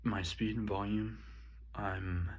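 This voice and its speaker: Slow, halting young man with a deep voice. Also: fairly even intonation, husky. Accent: rural twang. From rural area. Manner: slow and halting, many ums and restarts. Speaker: student. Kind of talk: spoken self-reflection on a speech. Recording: dull lo-fi recording.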